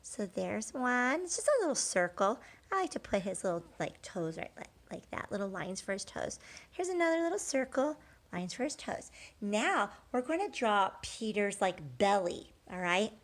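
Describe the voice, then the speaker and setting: A woman's voice: medium pitch, sing-song, moderate pace. A woman teacher talking children through a drawing step by step, conversational and encouraging, often checking in with short questions.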